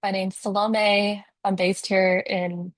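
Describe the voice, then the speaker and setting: A woman from East Africa, an organizer, introducing herself over a video call in a steady, earnest way. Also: mid-range voice, takes it slowly, dry recording. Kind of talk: prepared self-introduction.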